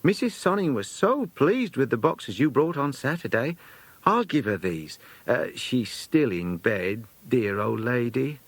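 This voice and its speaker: A man's voice: mid-range voice, very animated, a little slow, husky, no room sound, voice pushed up, full character voice. A man voicing a fussy, kindly, easily indignant British lady's companion in a children's audiobook, with an emphatic, exclaiming manner. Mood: pleased, fussy.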